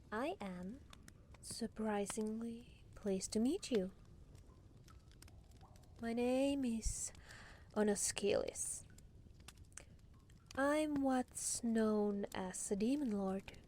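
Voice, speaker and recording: A woman voicing a haughty, teasing demon lord in an ASMR roleplay, condescending and theatrical, with breathy murmurs. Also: fairly high pitch, warm tone, a little hiss or hum.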